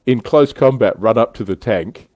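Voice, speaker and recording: A man with a deep voice hosts a relaxed hobby panel. He talks conversationally, and the sound is dry with little room in it. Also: normal speed, dry recording. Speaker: livestream host. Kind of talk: casual panel discussion of tabletop wargaming. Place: indoors, online livestream.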